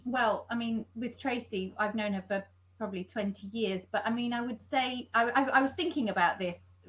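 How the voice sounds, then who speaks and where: A woman's voice: a little high, normal speed, fairly bright tone, rough recording. A woman who is a scriptwriter guest on a panel, giving earnest advice and repeating words as she thinks. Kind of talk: panel discussion.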